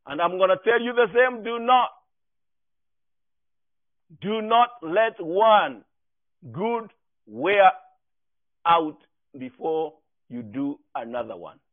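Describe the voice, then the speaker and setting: A man's voice: high voice, lively intonation, slow pace, long pauses. A man with a Kenyan accent preaching a sermon in an emphatic, exhorting way, repeating his key phrases. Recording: echoey room, thin phone-like sound.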